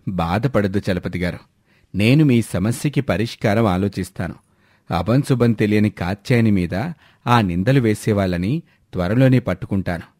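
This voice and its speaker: A man playing a calm, determined village helper in a moral story, speaking in a measured, reassuring way. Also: fairly low pitch, no echo, clean studio sound, close to an everyday voice. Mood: sympathetic. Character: calm, determined village man who helps troubled friends. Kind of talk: dramatised moral story dialogue.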